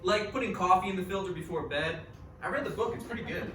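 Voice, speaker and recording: A man playing a friendly, easygoing coworker of thirty-six, casual and animated in a comedic table read. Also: high voice, quick pace. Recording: echoey, noisy room, distant mic.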